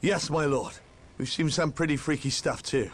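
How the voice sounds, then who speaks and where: A man's voice: fairly high pitch, normal speed, lightly acted. Man as an uneasy young-sounding guardsman, faint noise. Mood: relieved, uneasy. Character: uneasy, grateful rank-and-file guardsman soldier. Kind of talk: game cutscene dialogue.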